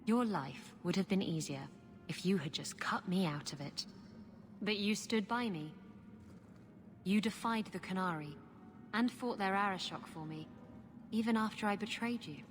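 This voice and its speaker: A woman's voice: medium pitch, normal speed, pauses often, in a large hall, soft background music, close to an everyday voice. An actress playing a brash but remorseful female rogue adventurer in a video game, speaking earnestly with a wry edge. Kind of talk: video game cutscene acting.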